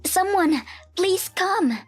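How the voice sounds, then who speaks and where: A woman voicing a frightened young child with loud, urgent cries in a manga dub. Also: very high voice, animated, extremely slow, pitched above the performer's own voice, exaggerated voice. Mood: panicked. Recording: music behind the voice.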